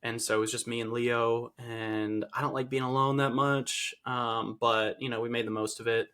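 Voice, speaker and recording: A man's voice: mid-range voice, little pitch movement, normal speed, sharp tone, smooth. A man co-hosting a fitness podcast, stuffy and nasal from a cold, chatting casually with lots of fillers and restarts. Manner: rambling, filler-heavy, self-correcting. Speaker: podcast co-host, fitness coach. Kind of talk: casual chat.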